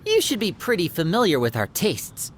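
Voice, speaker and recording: A man's voice: high voice, wildly swooping pitch, normal speed, slight background noise. An actor playing a suave, courteous young male magician in a video game, with a polished, theatrical manner. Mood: amused, polite.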